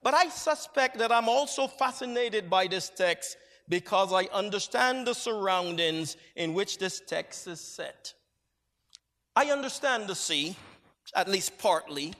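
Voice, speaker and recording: High bright-voiced preacher from Barbados. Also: male voice, animated. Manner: measured, reflective storytelling. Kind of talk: sermon. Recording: long hall reverb.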